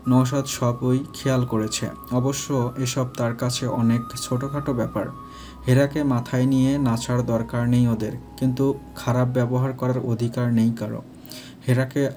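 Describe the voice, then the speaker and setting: Male narrator for scripted audio fiction, dark warm tone, little pitch movement, light music underneath. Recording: faint music behind.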